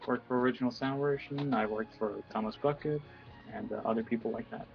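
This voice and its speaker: A man's voice: mid-range voice, fairly even intonation, a little fast, vocal fry. Polite Norwegian man on a lo-fi call. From Norway. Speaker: guest writer. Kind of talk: casual chat. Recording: dull lo-fi call audio with faint music.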